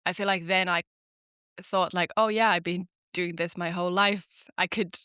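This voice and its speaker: A woman's voice: on the low side, normal speed, smooth, no room sound, clean studio sound. A young woman from Finland, a podcast guest and actor, chatting casually with lots of "like" fillers. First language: Finnish. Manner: chatty, many "like" fillers, lively storytelling. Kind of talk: casual interview chat.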